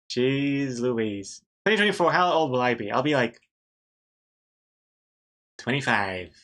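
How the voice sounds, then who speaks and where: A man's voice: medium pitch, animated, slow, pauses often, a bit bright. A man in his thirties, a livestreaming artist talking casually to chat while he draws, chatty and self-mocking. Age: 30s. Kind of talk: casual commentary while drawing on stream.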